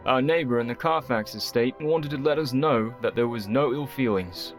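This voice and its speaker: A man playing an earnest, weary, sensible gentleman doctor in a radio play, speaking in formal, measured phrases. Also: mid-range voice, soft background music, lightly acted. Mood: courteous.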